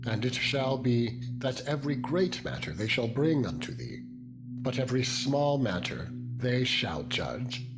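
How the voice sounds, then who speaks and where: A man reading scripture as a dramatized narration in a solemn, measured, deliberate manner. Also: medium pitch, sing-song. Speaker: Bible narrator. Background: background music with tuning-fork-like tones.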